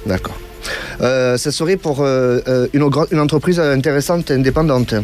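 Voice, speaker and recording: A man's voice: medium pitch, steady tone, normal speed, a little mellow, soft background music. Hesitant man hosting a call-in show. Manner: hesitant, many fillers and restarts, reassuring. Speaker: radio clairvoyant host taking calls. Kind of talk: call-in consultation.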